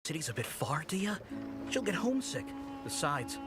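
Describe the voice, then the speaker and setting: A fretful desert man questions every plan, his fairly high voice rising and falling. His lines come from a game trailer, with loud music under them. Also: sing-song, normal speed. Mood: worried.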